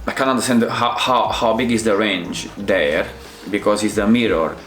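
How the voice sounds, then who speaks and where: A middle-aged man from Romania with a Romanian accent, a Krav Maga instructor reacting to fight scenes in halting, restarting English. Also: lowish voice, fairly even intonation, fairly bright tone, roomy sound, soft background music. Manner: halting non-native phrasing with many restarts.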